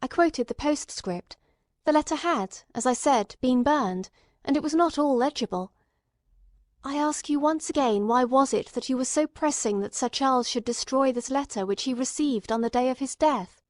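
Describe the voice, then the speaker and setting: Woman reading a persistent high-pitched gentleman investigator. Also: normal speed, no room sound, studio quality, close to an everyday voice. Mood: stern, insistent. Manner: insistent, courteous, formal interrogation. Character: persistent, formal gentleman investigator questioning a witness. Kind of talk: dramatic audiobook character reading.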